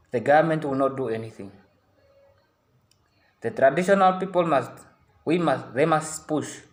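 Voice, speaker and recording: A young man makes an earnest appeal in English, his after a San tongue. His voice sits in an ordinary middle register. He pleads and repeats himself, restarting sentences as he pushes his case. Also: mid-range voice. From Namibia. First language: a San language. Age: young adult. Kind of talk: impassioned public appeal.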